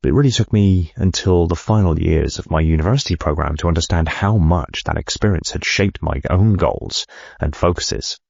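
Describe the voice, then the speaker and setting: An actor playing a driven company CEO narrating his memoir in a reflective, measured way, with a gravelly, husky voice. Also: low voice, a little mellow, dry recording, highs cut off, full character voice. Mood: determined, reflective. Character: driven male medical company CEO recounting his life. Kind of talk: first-person memoir narration.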